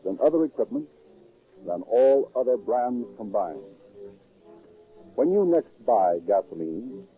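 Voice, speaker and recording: A male announcer delivers formal, declamatory 1930s radio narration in a medium-pitched voice. The vintage recording is narrow and tinny, with faint music.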